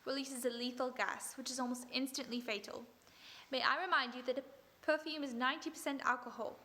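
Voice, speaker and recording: A woman playing a calm, authoritative female detective in a radio play, speaking in a measured way. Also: high-pitched. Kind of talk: 1940s radio acting.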